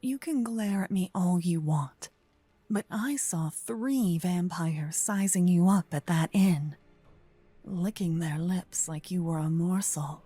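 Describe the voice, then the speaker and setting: A woman playing a gentle but possessive vampire kidnapper in an ASMR roleplay, speaking softly and intimately with frequent whispering, close to a binaural mic. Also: fairly low pitch, no echo, faint music behind. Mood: chiding, intense. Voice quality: soft, breathy, often whispering. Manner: slow, intimate, earnest, dramatic pauses. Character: gentle possessive female vampire kidnapper, self-proclaimed protector. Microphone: close binaural microphone, ear to ear.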